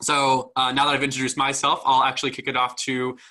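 A man's voice: mid-range voice, completely flat delivery, brisk, sharp tone. A young man informally welcoming people to an online panel as its admissions host, speaking over a video call. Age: young adult. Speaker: admissions dean hosting a student panel. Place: indoor room, video call. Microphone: video call microphone.

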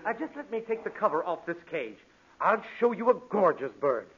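Fussy, mannered male shopkeeper for radio comedy, unusually high and lilting, muffled vintage broadcast. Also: big pitch swings, brisk, slight background noise. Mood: polite, obliging. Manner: mannered, overly polite. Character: prim, fussy, polite male pet shop clerk. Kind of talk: 1940s radio comedy acting.

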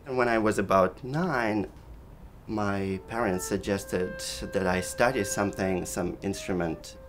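Middle-aged native Russian speaker, a clarinet teacher, measured and reflective, a gravelly low-ish voice, soft music beneath. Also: male voice. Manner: thoughtful, measured, with pauses and restarts. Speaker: clarinet professor. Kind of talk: reflective interview. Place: living room with daylight window.

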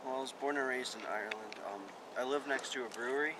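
A young man acting out amateur film dialogue in a halting, casual way, recorded outdoors on a camera mic. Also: medium pitch, background noise. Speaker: amateur actor in film outtakes. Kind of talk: rehearsing scripted dialogue, casual. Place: outdoors in woods.

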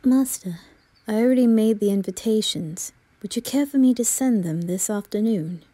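Woman putting on a composed, formal, mellow butler. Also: a little high, moderate pace, no room sound, lightly acted. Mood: dutiful. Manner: formal, restrained, servile. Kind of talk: roleplay ASMR acting.

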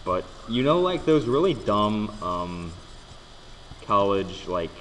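A young man jokes his way through a game, swearing freely and tripping over restarts. His pitch swings widely as he reacts. The recording carries a long, roomy reverb. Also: very animated, slow, slight background noise. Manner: joking, swearing, stammering restarts. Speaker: let's play host. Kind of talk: casual gameplay commentary. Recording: echoey room with long reverb.

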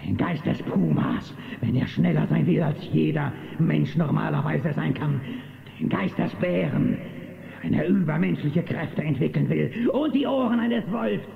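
A menacing outlaw boss for a children's audio play, gloating and short-tempered. The voice is fairly high and heavily gravelled. It echoes through a narrow, phone-like recording. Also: male voice, unhurried. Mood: menacing, boastful. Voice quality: gravelly, rasping. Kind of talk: German children's audio drama acting. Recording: muffled phone-like band, echoey, faint noise.

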